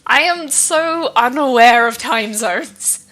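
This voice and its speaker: Bright-voiced woman streamer chatting casually. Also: a little high, slow. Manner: rambling, many fillers, swears casually. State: stuffy nose from hay fever. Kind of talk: casual chat with viewers.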